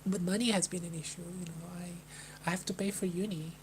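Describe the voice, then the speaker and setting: Young woman, from Brazil, hesitant, flat monotone, hum and noise behind. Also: fairly low pitch, rough recording. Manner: hesitant, halting, with many restarts and sighs. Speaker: livestreamer. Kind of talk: personal talk to a live chat. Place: Melbourne, indoors.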